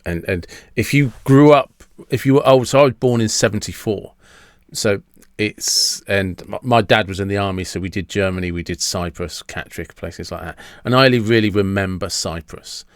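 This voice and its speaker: A man in his fifties speaks in a fairly low voice, hosting a casual podcast chat. He rambles, repeating words and restarting sentences. The sound is dry and close to the mic. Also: moderate pace, no echo. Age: 50s. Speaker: podcast host. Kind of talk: casual conversational interview.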